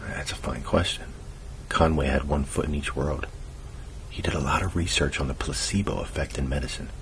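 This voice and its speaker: Man playing very deep-voiced sardonic conspiracy guy. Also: steady tone, a little fast, in a whisper. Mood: earnest, musing. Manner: sardonic, colloquial, explanatory. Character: male conspiracy-minded cousin, dry and earnest, burgling a house. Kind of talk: hushed fictional audio drama for ASMR. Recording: noisy low-quality amateur recording.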